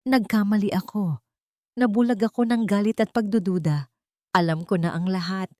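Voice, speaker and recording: A woman's voice: mid-range voice, normal speed, warm tone, lightly acted. A woman acts out an earnest romantic hero, a protective CEO in an audio love story. The delivery is emotional and urgent, pleading with feeling, recorded dry and without echo. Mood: remorseful.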